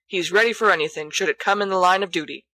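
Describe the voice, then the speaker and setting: A woman's voice: fairly low pitch, quick pace, sharp tone, no echo. A woman reading a storybook as a cocky, flippant schoolboy prankster with an airy, dramatic manner. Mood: cocky, amused.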